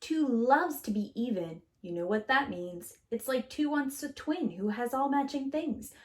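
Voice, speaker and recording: A woman reading a children's picture book aloud as a preschool teacher, in an expressive, theatrical way with drawn-out key words. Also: sits high.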